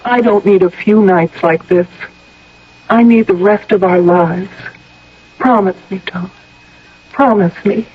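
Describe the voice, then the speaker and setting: A woman's voice: medium pitch, slow, long pauses, slightly rough. A woman acting an anxious, earnest sweetheart in a radio drama, pleading urgently. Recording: thin, phone-like old radio broadcast.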